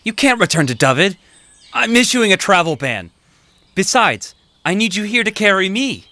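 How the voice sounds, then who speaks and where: A man's voice: high-pitched, sing-song, normal speed, pushed and bright, no echo, full character voice. A man playing a vain, helpless, complaining king in a comic stage-style audio drama. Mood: indignant. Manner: pompous, boastful, complaining. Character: vain, whining, helpless old Welsh king.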